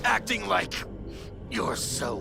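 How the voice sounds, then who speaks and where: A man voicing a tormented, mind-controlled monster villain, gravelly and strained, with halting, laboured delivery in a video game. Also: high-pitched, very animated, music in the background, full character voice. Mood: menacing.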